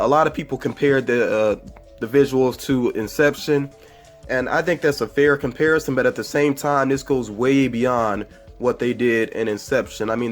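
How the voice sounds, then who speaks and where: Congested man chatting through a movie review. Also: mid-range voice. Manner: rambling and chatty, with frequent fillers and self-corrections. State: congested from a cold. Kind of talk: casual spoiler movie review.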